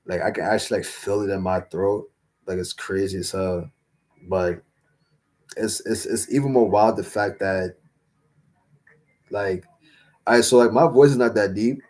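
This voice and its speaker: A young man hosting a casual livestream Q&A, rambling with lots of fillers and slang, his voice a little raspy from talking all day. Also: on the low side, steady tone, unhurried, pauses often, darkish tone. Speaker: livestream host answering chat.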